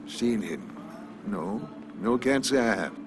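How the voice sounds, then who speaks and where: A man playing a courteous, philosophical older small-town pharmacist in a video game, talking in a polite, measured, folksy way. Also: fairly low pitch, animated, noisy background. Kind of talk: video game character dialogue.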